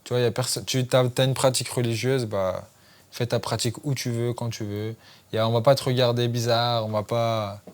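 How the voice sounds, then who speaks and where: A man of ordinary pitch talks casually as a podcast guest. His speech is loose and informal, full of little tag phrases. He sounds relaxed in a studio setting. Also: brisk. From France. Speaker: guest, MMA fighter.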